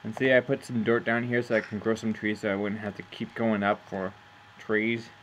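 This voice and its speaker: A man's voice: lowish voice, flat delivery, normal speed, heavy background noise. A man giving casual, rambling commentary over his own gameplay, amused at himself and losing his train of thought. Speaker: gameplay narrator.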